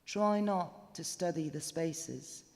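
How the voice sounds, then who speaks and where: Male storyteller, very slow pace, deliberate, dramatic, ghost story reading, long reverb. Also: a little high, gravelly, darkish tone. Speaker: story narrator. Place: indoors, stone chapel. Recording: reverberant chapel live recording.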